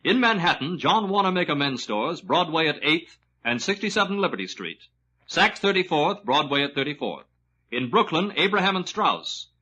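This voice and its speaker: A male radio announcer briskly reading a list of stores for a commercial. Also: fairly high pitch, very animated, sharp tone, husky. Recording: dull lo-fi old radio.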